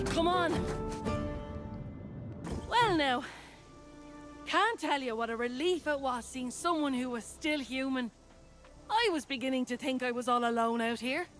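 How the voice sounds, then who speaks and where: An actress playing a brash, cheeky young pirate treasure hunter in a video game, with a swaggering, playful, colloquial delivery. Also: female voice, high-pitched, fairly bright tone. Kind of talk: video game cutscene acting.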